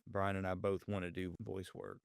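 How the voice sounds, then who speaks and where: A man's voice: low-pitched, monotone, moderate pace, vocal fry, slight background noise. A man co-hosting a casual interview, eager and brief in his remarks. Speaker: podcast co-host.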